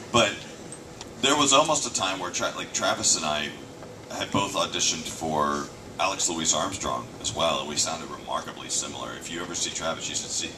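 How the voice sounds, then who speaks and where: A man, a voice actor, answering fan questions at a convention panel in a casual, joking way. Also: sits low. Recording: distant fan-shot recording with noise.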